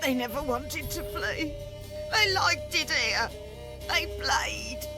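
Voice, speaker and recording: A gentle, pleading servant woman in a fantasy game. Her plaintive voice is high and slow, with music clearly audible underneath. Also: animated. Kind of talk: video game cutscene acting.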